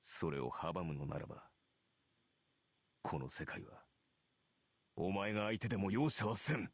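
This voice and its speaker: A man playing a cold, stern, resolute warrior in a Japanese visual novel, with a grave, deliberate delivery. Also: low voice, lively intonation, slightly rough, no room sound, heavily acted.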